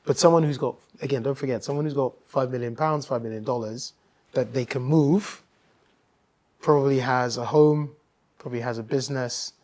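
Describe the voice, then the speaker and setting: A man's voice: mid-range voice, steady tone, moderate pace, darkish tone. Man from Nigeria, interview guest, mid-range voice, thoughtful, hesitant fillers. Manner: thoughtful, with many ums and restarts. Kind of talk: casual interview conversation.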